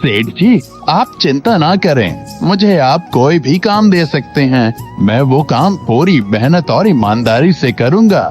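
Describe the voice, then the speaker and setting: An actor playing a humble, hardworking poor village laborer in a cartoon moral story, speaking politely and earnestly. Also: male voice, a little high, very animated, a little slow, hardly any pauses, darkish tone, faint music behind. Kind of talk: Hindi animated moral story dialogue.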